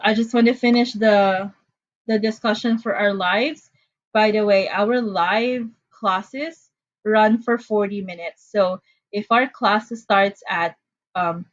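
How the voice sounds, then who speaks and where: A woman's voice: medium pitch, highs cut off. A woman from the Philippines with a Filipino accent, teaching an online class in a chatty, apologetic way with frequent fillers. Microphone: computer mic on a video call.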